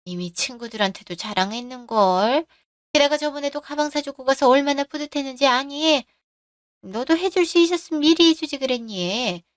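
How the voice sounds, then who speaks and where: A woman's voice: sits high, normal speed, no echo, studio quality, lightly acted. A woman voicing a pushy, boastful older mother-in-law who demands money. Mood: demanding. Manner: demanding, scolding.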